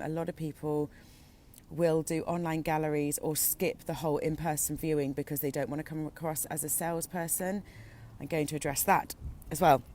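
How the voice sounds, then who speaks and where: Woman giving a chatty off-the-cuff talk, low voice, bit stuffy from a cold, faint background noise. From Britain. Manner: chatty, encouraging, relaxed with filler ums. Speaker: equine photographer coaching a group. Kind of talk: impromptu talk to an online group. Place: out at lunch.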